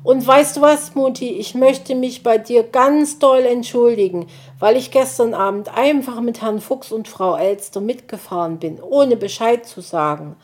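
A woman voicing an excited little boy hedgehog who chatters eagerly. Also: on the high side, unhurried, few pauses, pitched above the performer's own voice, lightly acted. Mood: apologetic.

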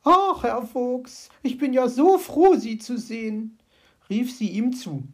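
A woman voicing an excitable, fussy female magpie in a storybook, with shrill, agitated exclamations and a creaky, husky voice. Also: a little slow, exaggerated voice. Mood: relieved. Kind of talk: storybook character voice.

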